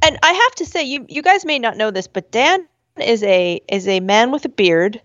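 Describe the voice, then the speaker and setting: A woman co-hosting a podcast from home, chatting and laughing, with a high voice, acting out quotes with squeals. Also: animated, moderate pace, a bit bright, no echo. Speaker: podcast co-host. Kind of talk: casual chat. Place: at home with a dog. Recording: dull, slightly lo-fi sound.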